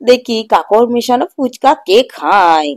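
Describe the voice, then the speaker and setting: A woman voicing a jealous, scheming middle-aged male street-food seller in a children's cartoon, gruff and sneering. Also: a little high, expressive, measured pace, darkish tone, no echo, clean studio sound. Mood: sly, scheming. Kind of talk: Bengali children's cartoon dubbing.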